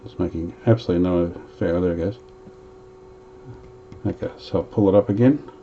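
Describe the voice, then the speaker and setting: Lower-voiced man, casual hands-on workshop narrator who thinks aloud, dull lo-fi sound. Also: mellow, a little hiss or hum. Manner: thinks aloud while working, chatty and unhurried. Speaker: vintage radio restorer.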